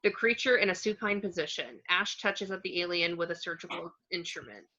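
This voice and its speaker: A woman's voice: lowish voice, a little fast, bright, forceful tone. A woman narrating a screenplay's action descriptions in a scripted read. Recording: dull, lo-fi home recording.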